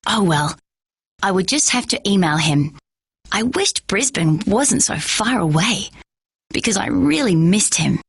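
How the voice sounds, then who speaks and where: Husky woman reading a children's novel. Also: mid-range voice, measured pace, no room sound, studio quality. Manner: steady first-person storybook narration. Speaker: storyteller.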